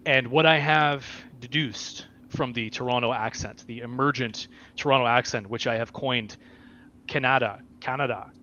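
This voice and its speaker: A man's voice: mid-range voice, normal speed, pushed and bright. A man hosting a casual livestream chat, joking in a mock-scholarly manner. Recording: dull lo-fi mic with faint hiss.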